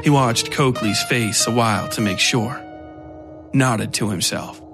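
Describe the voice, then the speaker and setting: A man reading scripted dark fiction as the narrator. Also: medium pitch, measured pace. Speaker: narrator and writer. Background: guitar music.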